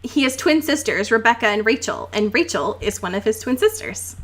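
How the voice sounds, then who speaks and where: High-voiced young woman chatting casually. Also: lively intonation, brisk, very noisy. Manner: informal, sweary, with frequent "like". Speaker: podcast co-host. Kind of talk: casual chat, recapping a novel.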